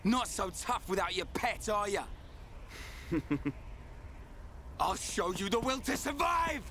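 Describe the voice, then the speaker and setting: A brash young British brawler from a video game, is combative and loud. His high voice jumps around excitedly over prominent music. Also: slow, exaggerated voice. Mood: defiant. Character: brash, hot-headed young male fighter, loyal sidekick.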